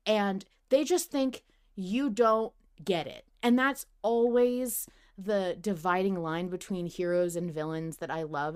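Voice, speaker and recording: Lower-voiced woman, emphatic podcast talk. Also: darkish tone, clean tone. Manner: emphatic, casually sweary. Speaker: podcast host. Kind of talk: casual fan discussion.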